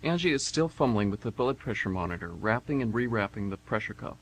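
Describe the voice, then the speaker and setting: Male narrator, fairly low voice, reading scripted action cues in a radio play. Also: animated, a little fast, rough recording. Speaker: stage-direction narrator.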